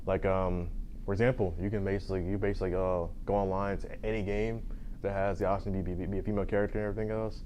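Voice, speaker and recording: A young man in his early twenties talks as a guest on a casual student podcast. His voice sits on the lower side, and he speaks fast, often stumbling over and repeating his words, with faint noise in the background. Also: little pitch movement, darkish tone, low-quality recording. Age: 20s. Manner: rapid, tumbling speech with many repeats and restarts. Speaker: student newspaper photographer. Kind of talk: casual conversation.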